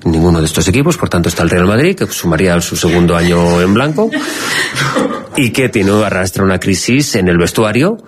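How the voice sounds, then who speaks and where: A man with an accent from Spain hosting a podcast in casual banter, speaking with measured, hesitant phrasing. His native language is Catalan. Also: deep voice, animated, noisy background. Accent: Spanish from Spain. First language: Catalan/Valencian. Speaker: podcast host.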